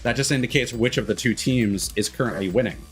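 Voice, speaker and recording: A man gives loose, rambling commentary on a game livestream. He is critical and blunt, with casual swearing. His voice sits at an ordinary male pitch, and game music plays clearly behind him. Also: quick pace, fairly bright tone.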